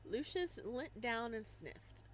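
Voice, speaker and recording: A woman streamer reading a visual novel aloud in an expressive way. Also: on the high side, slow, slight background noise, low-quality recording. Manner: expressive, shrieks and laughs at surprises. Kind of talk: dramatic reading of game text with reactions.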